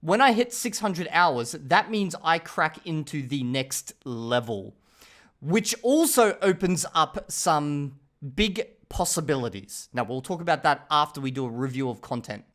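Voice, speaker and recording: A man talks to his viewers in a loose, rambling way, often checking in as he goes. His voice sits a little higher than most men's. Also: sing-song, a little slow, a bit bright. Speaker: channel host reviewing his language learning. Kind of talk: casual talking-head review.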